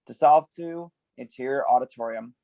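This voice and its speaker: Man, mid-pitched voice, scripted narration, emphatic scene headings, dry recording. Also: moderate pace, creaky, dark tone, no echo. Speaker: stage-direction reader. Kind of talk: scripted narration at a table read.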